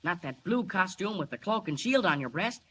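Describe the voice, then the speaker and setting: A male actor playing an eager, awestruck boy with a husky voice in a 1940s radio drama. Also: high voice, sing-song, moderate pace, fairly bright tone, slight background noise. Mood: eager, awed. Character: eager, awestruck boy, the professor's young son. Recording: vintage 1940s radio recording.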